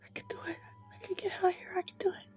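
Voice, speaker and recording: Woman voicing a breathy, frightened pleading girl. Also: very fast, darkish tone, lo-fi sound, soft background music, natural voice. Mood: frightened determination. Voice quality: breathy, whispery. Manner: urgent self-encouraging pleading. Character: frightened desperate girl trapped in a cave, pleading.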